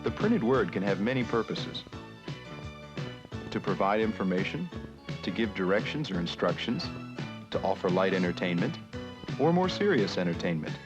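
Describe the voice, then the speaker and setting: Low male voice, educational film narrator, measured delivery, dull vintage soundtrack, prominent music. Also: big pitch swings.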